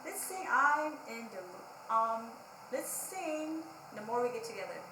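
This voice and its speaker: Woman children's storytime host, expressive and animated, unhurried, roomy recording with faint noise. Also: a little high, music in the background. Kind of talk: children's read-aloud and craft demonstration.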